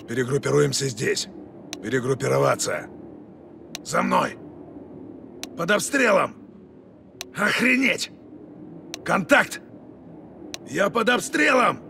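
A man voicing a gruff, commanding special forces soldier giving terse, urgent radio commands in a video game. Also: mid-range voice, lively intonation, slow pace, pauses often, bright, forceful tone, a little hiss or hum, full character voice. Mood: calm, low, then urgent, shouting. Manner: terse, urgent, barked military callouts.

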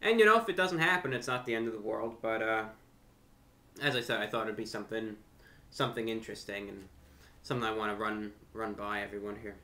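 A young man talking unscripted to camera as a host, with deliberate, slightly formal phrasing, pausing and repeating words. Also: on the low side, sharp tone. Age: young adult. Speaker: YouTube host and amateur radio broadcaster. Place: furnished room at home, indoors.

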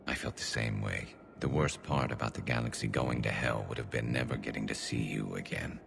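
A male alien soldier from a sci-fi game. The voice is rock-bottom deep and full of gravel, with a faint metallic flange. He is dry, teasing and sure of himself, yet awkwardly tender, over noisy ambience. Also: a little fast, full character voice. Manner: dry, teasing, laid-back. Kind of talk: video game voice acting.

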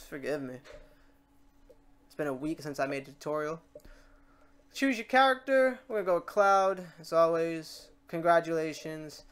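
An informal tutorial host talking through software steps casually, muttering asides and self-corrections while working. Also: mid-range voice, clear, resonant tone. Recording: noisy room, keyboard typing and clicks. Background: keyboard typing, mouse clicks, background noise.

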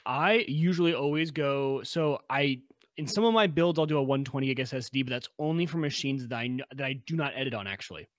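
Young man, fast eager talker, dry close mic, tech podcast co-host. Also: medium pitch, no room sound. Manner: quick, enthusiastic explaining. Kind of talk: casual tech podcast chat. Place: home workshop room with pegboard. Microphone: studio mic on boom arm, video call.

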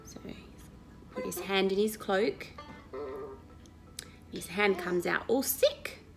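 A woman in her thirties reads a Bible story to children, explaining it and asking questions in an expressive, teacherly way. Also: mid-range voice, slow pace, gravelly, music in the background. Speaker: Sunday school story reader. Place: indoor living room at home.

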